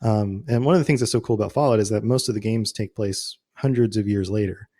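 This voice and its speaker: A man's voice: fairly low pitch, fast talker, warm tone. Man chatting casually as a podcast host. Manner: conversational, explanatory, quotes imagined reactions. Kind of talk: casual podcast chat about video game lore.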